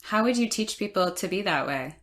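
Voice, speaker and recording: A woman's voice: medium pitch, expressive, fairly quick, fairly bright tone. A woman co-hosting a podcast, putting casual interview questions to guests. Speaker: podcast co-host.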